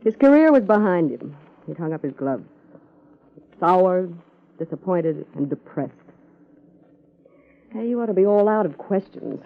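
An American actress playing a jaded, sharp-tongued ex-showgirl wife in a 1950s radio crime drama, sardonic and world-weary. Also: on the low side, very animated, measured pace, pauses often. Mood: bitter, weary. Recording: thin, phone-like vintage radio recording.

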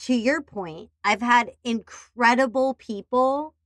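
A woman's voice: on the high side, slow, a bit bright, no room sound, studio quality. A woman hosting a podcast who chats playfully and animatedly and speaks directly to the listeners. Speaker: podcast host. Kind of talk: casual conversation.

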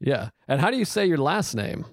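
A man's voice: fairly high pitch, very animated, brisk, gravelly, no room sound, studio quality. A man hosting a podcast interview over a call, joking, swearing and fretting about the audio. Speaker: podcast host.

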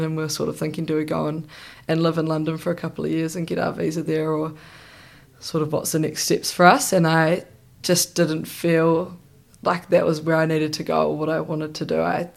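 A woman's voice: low voice, gravelly. A young New Zealand woman with a New Zealand accent, a podcast guest chatting casually with lots of ums and restarts. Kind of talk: casual interview chat.

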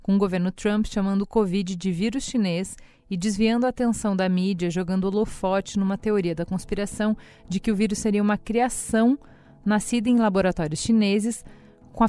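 A Brazilian woman co-hosting a podcast, narrating news background in a measured, explanatory way. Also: medium pitch, warm tone, faint music behind. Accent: Brazilian Portuguese. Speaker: podcast co-host.

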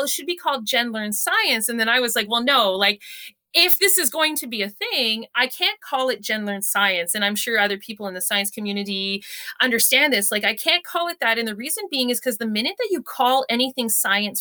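A woman with a loud voice, chatting in an animated, jokey and self-deprecating way as an interview guest. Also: on the high side. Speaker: interview guest, science communicator. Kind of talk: animated casual chat, telling anecdotes.

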